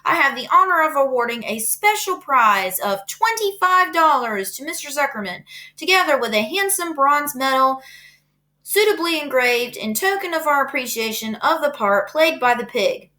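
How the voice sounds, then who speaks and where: A woman voicing a pompous male fair announcer speaking over a loudspeaker, with grand, booming delivery. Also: animated, moderate pace. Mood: grandiose.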